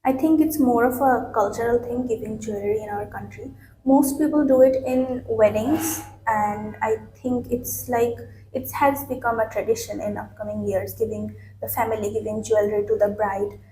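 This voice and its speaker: Young woman, soft voice in an echoey room. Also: fairly high pitch, steady tone, normal speed. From Bangladesh. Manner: hesitant, many fillers and restarts. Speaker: IELTS mock test candidate. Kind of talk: answering speaking test questions. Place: small office room, indoors. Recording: echoey room with faint noise.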